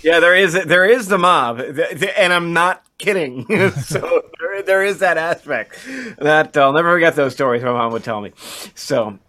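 A man's voice: on the high side, normal speed, pushed and bright. A man co-hosting a podcast in casual conversation, often stammering and restarting his phrases. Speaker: podcast co-host.

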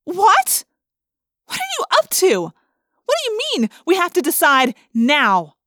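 A woman's voice: fairly high pitch, moderate pace, no room sound, clean studio sound, natural voice. A woman voicing an outspoken, combative teenage girl in an audiobook, emphatic and challenging. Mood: shocked.

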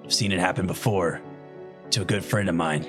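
A man voicing a brash male rescuer who is rough but caring, speaking bluntly and joking around. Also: low-pitched, steady tone, brisk, music in the background, natural voice. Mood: reassuring.

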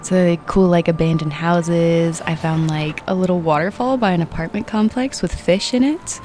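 A woman storyteller sharing a personal anecdote in a casual, unhurried, meandering conversational way. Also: on the low side, noisy background.

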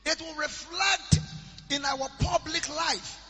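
A man preaching a sermon in a chanting, sing-song style that rises into shouts. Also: extremely high pitch, fairly even intonation, slow, vocal fry. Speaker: pastor. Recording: church hall reverb with music behind.

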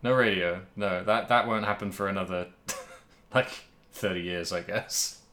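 A man's voice: sits low, measured pace, bright, forceful tone. A man co-hosting a casual podcast about video games, talking in a rambling, jokey way. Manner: rambling, jokey, laughs often. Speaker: podcast co-host.

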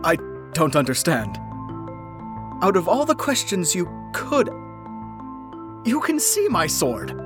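An actor playing a haughty, formal alien prince in an audio drama, declaiming in a pompous, elaborate manner. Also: male voice, sits high, huge pitch swings, slow pace, pauses often, over music, full character voice. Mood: baffled, indignant.

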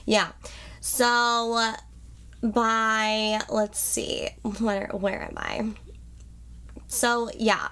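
A woman's voice: fairly high pitch, very animated, drawn-out words, long pauses, sharp tone, smooth. A woman co-hosting a podcast, nasal and a bit congested from a cold, chatting and telling history in a bubbly, self-deprecating way. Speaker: podcast co-host. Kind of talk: casual chat, telling history.

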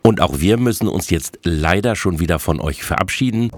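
A man's voice: low voice, animated, moderate pace, heavy background noise. A man narrating a podcast report as presenter.

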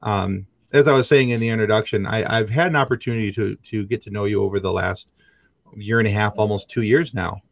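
A man hosting an interview, halting and repeating words as he encourages his guest. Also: lowish voice, creaky, no echo, studio quality. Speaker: host. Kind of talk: warm interview introduction.

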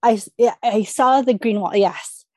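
A woman's voice: a little high, normal speed, mellow, no echo, clean studio sound. A woman speaking as a podcast guest in casual chat, telling things by quoting her own thoughts.

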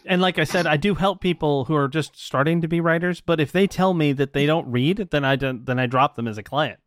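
A man's voice: on the high side, a little fast, no room sound, clean studio sound. A man who coaches writers, talking earnestly in a casual chat and laughing between points. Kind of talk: casual conversation.

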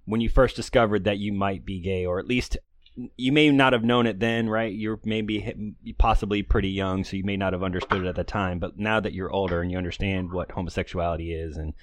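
A man's voice: low-pitched, fairly even intonation, a little fast, no room sound. A middle-aged man hosting a podcast, interviewing in a chatty, informal, conversational way. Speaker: podcast host.

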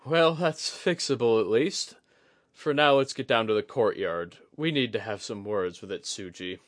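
A man's voice: fairly low pitch, moderate pace, dry recording, clean studio sound, pitched above the performer's own voice, natural voice. A man voicing an alert, anxious soldier in a fantasy audiobook, speaking earnestly and urgently. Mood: relieved. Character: alert, anxious, quick-thinking male soldier on watch.

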